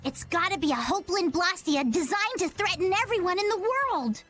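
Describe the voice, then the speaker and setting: Sweet earnest game girl, super high voice, lots of up and down. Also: expressive, brisk, fairly bright tone, soft background music. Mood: anxious, earnest. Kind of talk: English video game voice acting.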